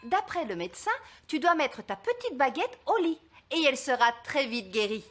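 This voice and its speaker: A woman's voice: high voice, sing-song, normal speed, fairly bright tone, a little hiss or hum. A woman voicing a caring, practical companion in a French-dubbed children's cartoon, explaining things in a motherly way. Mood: helpful.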